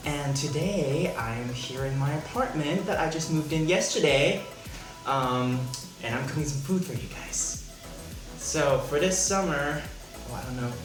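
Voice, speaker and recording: A man's voice: a little high, a little slow. Chatty young man cooking in an echoey room. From Hong Kong. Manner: chatty, playful asides. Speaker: vlogger, home cook. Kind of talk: casual cooking vlog chat. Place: small apartment room. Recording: echoey room with music behind.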